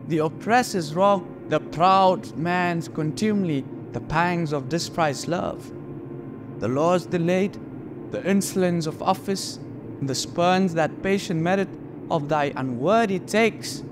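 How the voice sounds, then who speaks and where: An actor playing a melancholy, brooding prince in a theatrical Shakespearean monologue, speaking deliberately with dramatic pauses. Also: male voice, high-pitched, background noise. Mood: anguished, pondering.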